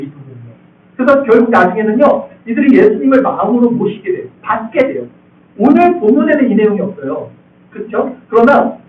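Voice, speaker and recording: A man's voice: very high-pitched, a little hiss or hum. A male pastor preaching a conversational expository sermon, often asking the congregation questions. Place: church sanctuary. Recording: echoey hall, distant tinny sound.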